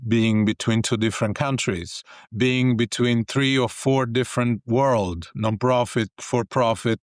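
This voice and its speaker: Italian-accented man, smooth and dry. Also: medium pitch, fairly even intonation, no echo. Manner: thoughtful, pausing, non-native grammar. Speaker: executive coach and professor. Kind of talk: conversational interview answers. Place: home office, video call.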